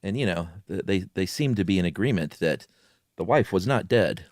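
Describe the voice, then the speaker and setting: A man's voice: low-pitched, expressive, moderate pace, darkish tone, dry recording, studio quality. An American man co-hosting a podcast, chatting casually and reading horror fiction aloud. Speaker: podcast co-host. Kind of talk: casual chat and dramatic reading of a horror story. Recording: music clearly under the voice. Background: music.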